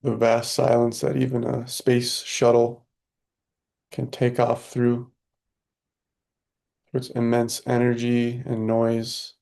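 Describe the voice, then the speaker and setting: Very monotone man guiding meditation, slow and gravelly. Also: medium pitch. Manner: calm, unhurried, soothing. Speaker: meditation guide. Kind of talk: guided meditation, spoken softly with long pauses.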